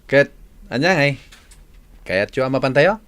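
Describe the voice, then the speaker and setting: An actor with an Ilocano accent playing an easygoing young son who drinks and shrugs off worries, in a radio drama. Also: male voice, mid-range voice, animated, a little slow, fairly bright tone, smooth.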